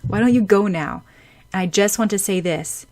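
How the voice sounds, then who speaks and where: A woman's voice: mid-range voice, moderate pace, close to an everyday voice. A woman doing a cold, mocking schoolboy. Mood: warning. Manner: sharp-tongued, condescending.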